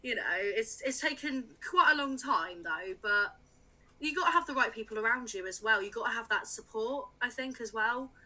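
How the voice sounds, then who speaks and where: A woman's voice: on the high side, animated, normal speed, pushed and bright, rough recording. A woman in her late twenties from England, a music writer chatting openly as a podcast guest, with many little laughs and fillers. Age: late 20s. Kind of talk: casual interview chat.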